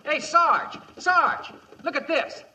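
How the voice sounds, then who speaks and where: An actor playing an eager, excitable young cavalry trooper in a vintage radio western, with a husky edge. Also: male voice, extremely high pitch, takes it slowly, a little hiss or hum, full character voice, poor audio. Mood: excited. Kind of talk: 1950s radio western acting.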